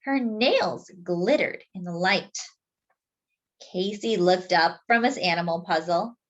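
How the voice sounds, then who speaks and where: A woman reading a picture book aloud to young children, expressive and deliberate, drawing out key words. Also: fairly low pitch, lo-fi sound. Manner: deliberate, with drawn-out emphasis for young listeners. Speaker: children's storyteller.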